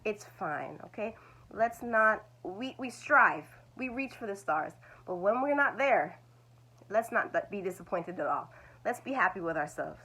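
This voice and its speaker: A woman's voice: medium pitch, lively intonation, a little slow, sharp tone, husky, rough recording. A woman, teaching language learners in a reassuring, repetitive way. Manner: reassuring, repeats "it's okay". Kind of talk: talking-head video lesson.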